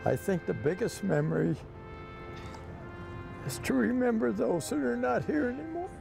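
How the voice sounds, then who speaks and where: A tearful older veteran speaks in a very high, gravelly voice. His remarks are brief and emotional, with music behind him. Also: animated, normal speed. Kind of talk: interview remarks.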